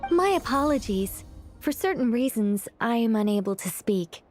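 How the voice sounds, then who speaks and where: A woman playing a serene, courteous and enigmatic mage in a video game, speaking formally and gently. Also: fairly high pitch, lively intonation, moderate pace, faint music behind, natural voice. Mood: apologetic, calm. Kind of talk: English video game voice acting.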